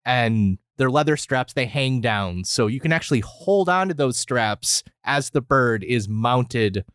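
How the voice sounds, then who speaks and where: Man, lively swinging pitch, podcast co-host, casual explanatory joking, dry close sound. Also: measured pace, clear, resonant tone, dry recording. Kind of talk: casual comedic review chat.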